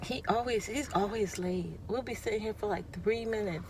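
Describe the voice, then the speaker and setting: A woman's voice: medium pitch, normal speed. A woman with a Jamaican accent chatting to her viewers as a mom vlogger, teasing and sassy as she tells her stories. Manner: sassy, teasing, animated storyteller. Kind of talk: casual chatty vlog.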